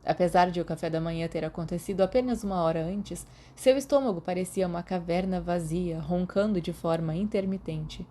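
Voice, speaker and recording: A woman with a Brazilian accent, calmly reading a fan fiction audiobook aloud. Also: fairly low pitch. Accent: Brazilian Portuguese. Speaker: podfic reader.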